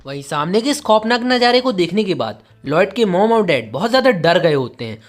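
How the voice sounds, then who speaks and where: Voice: mid-range voice, big pitch swings, brisk. A narrator telling a scripted story in a dramatic, present-tense recap style.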